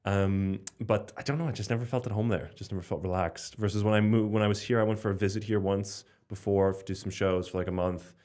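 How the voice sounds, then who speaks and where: A man's voice: on the low side, fairly even intonation, quick pace, hardly any pauses, darkish tone. A young man from Slovenia chatting casually as a podcast guest, rambling with lots of fillers and restarts. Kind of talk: casual conversation.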